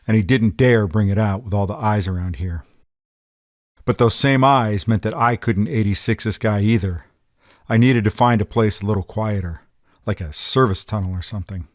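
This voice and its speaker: A man's voice: lowish voice, no echo, clean studio sound, lightly acted. A man playing a cocky, streetwise tough guy in a noir audio drama, slangy and matter-of-fact. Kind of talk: first-person noir audio drama narration.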